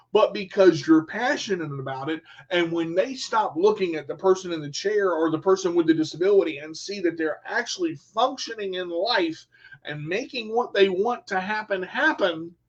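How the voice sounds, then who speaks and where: A man with a high, bright-sitting voice hosts a radio talk show, speaking in an emphatic motivational way. He repeats his key lines and keeps checking in with listeners. The recording is dull and lo-fi. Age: adult with a son. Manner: emphatic, repetitive, talks straight to listeners. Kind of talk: motivational monologue.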